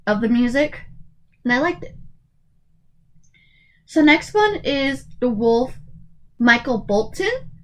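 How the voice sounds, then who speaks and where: A woman's voice: a little high, poor audio. A woman in her twenties talking casually and hesitantly, with many fillers and restarts, her voice going in and out. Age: 20s. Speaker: YouTuber. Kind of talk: casual tier-list commentary.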